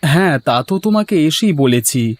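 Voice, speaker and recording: A man playing an excitable, cheerful village husband and food seller in a cartoon folk tale, with exclamatory, folksy speech. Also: on the high side, normal speed. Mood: pleased. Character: cheerful, excitable village husband turned rice-hotel owner.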